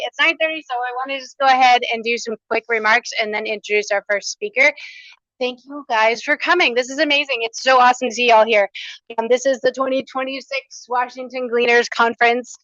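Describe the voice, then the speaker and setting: A woman's voice: fairly high pitch, steady tone, fairly quick, no echo, lo-fi sound. Enthusiastic woman host with a big voice. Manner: upbeat, enthusiastic, loud unamplified voice. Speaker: conference coordinator and host. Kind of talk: welcoming remarks and housekeeping announcements. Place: small conference room. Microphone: no microphone, room recording.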